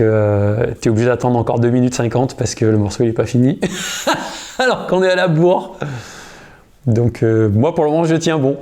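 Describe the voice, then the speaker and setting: A man in his thirties, a guitarist and singer, telling a story in a casual interview. He uses a lot of slang and often starts over or pauses with fillers. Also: mid-range voice. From United States. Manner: relaxed, slangy, many fillers and restarts.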